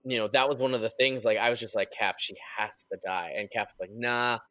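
A man's voice: fairly low pitch, a little fast, sharp tone, dry recording. A man who works as a podcast sound designer, talking informally and ramblingly in a casual group chat.

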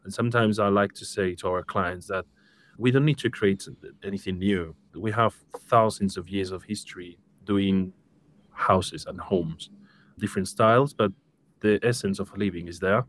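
A man from Spain in his thirties, speaking with a Spanish accent as a live-stream interview guest, relaxed and lightly joking. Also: deep voice, normal speed. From Spain, Seville. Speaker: guest, interior design studio director.

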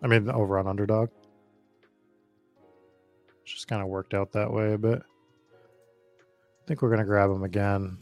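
A man with an American accent and a fairly low voice hosts a casual live commentary stream. His talk is chatty, informal and sprinkled with profanity. The recording is dry and close, with faint music behind him. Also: a little mellow, no echo. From Boston area, United States. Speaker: host of a fantasy football draft stream.

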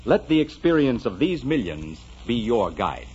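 A man's voice: mid-range voice, very animated, moderate pace. A man giving scripted 1940s radio narration as an announcer, dramatic and grandiose. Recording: dull, noisy 1940s radio recording, long reverb.

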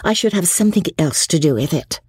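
Woman acting ardent young romantic woman, swooping pitch. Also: lively intonation, fast talker, a little mellow, husky, no room sound, clean studio sound. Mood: firm, nostalgic. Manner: emphatic, exclamatory.

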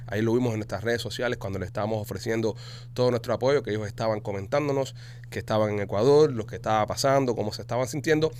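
Fast-talking Cuban podcast host. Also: male voice, on the low side, darkish tone, a little hiss or hum. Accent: Cuban Spanish. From Cuba. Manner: fast, chatty, salesy. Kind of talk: casual podcast talk with ad reads.

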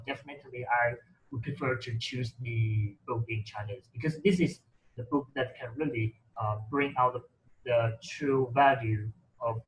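A man's voice: mid-range voice, slight background noise, low-quality recording. A man whose first language is Mandarin, talking casually in English with many fillers and restarts. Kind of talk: casual conversation about reading.

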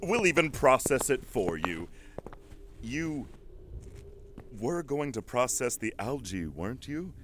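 An actor playing a courteous, wheedling schemer who feigns helpfulness in an audio drama. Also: male voice, mid-range voice, big pitch swings, slow, long pauses, fairly bright tone, a little hiss or hum. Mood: coaxing. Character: smooth-talking, falsely helpful male schemer.

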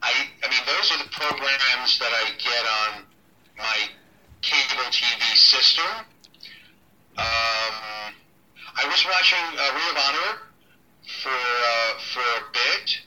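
A middle-aged man, a ring announcer, talking casually in an interview, relaxed and halting with many ums and restarts. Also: mid-range voice, recorded from a distance, a little hiss or hum, low-quality recording. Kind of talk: casual interview over a call.

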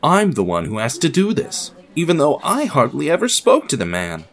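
A man's voice: on the high side, wildly swooping pitch, moderate pace, fairly bright tone, pitched above the performer's own voice, full character voice. A man playing an irritated but polite, reluctant office editor in an audio drama. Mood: aggrieved.

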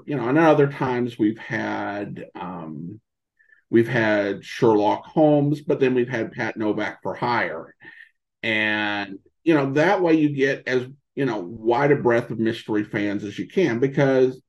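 A man chats as an interview guest on a podcast, recorded with dull, lo-fi sound. His delivery is halting and meandering, often doubling back on phrases, at an ordinary mid-range pitch. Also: darkish tone. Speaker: podcast guest and old-time radio podcaster. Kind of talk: casual interview chat about a hobby.

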